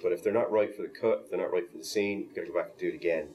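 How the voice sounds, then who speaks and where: A man's voice: low-pitched, very fast, mellow. A man giving a behind-the-scenes interview as a member of an animation team.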